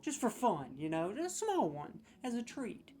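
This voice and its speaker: A man's voice: very high-pitched, huge pitch swings, normal speed, a little mellow. A man hosting a comedic video, ranting sarcastically with mock outrage as he scores game voice lines.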